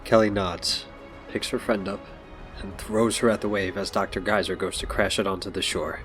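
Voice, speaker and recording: A man's voice: low voice, fairly even intonation, normal speed, a bit bright. A man reading scripted story narration for an audio drama. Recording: music behind.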